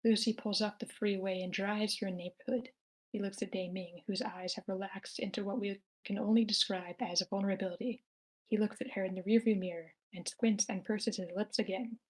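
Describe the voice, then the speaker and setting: A woman narrates a screenplay's action at an amateur table read. She reads plainly in a medium-pitched voice and now and then stumbles on a word. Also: steady tone, brisk, rough recording. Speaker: stage-direction narrator.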